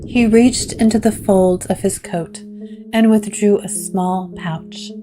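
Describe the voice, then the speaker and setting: Slow-paced woman narrating gothic drama. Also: mid-range voice, steady tone, warm tone. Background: music.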